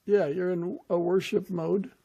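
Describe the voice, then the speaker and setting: A man's voice: sits high, flat delivery, unhurried, gravelly, warm tone, breathy edge. A man hosting a casual podcast interview. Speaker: podcast host.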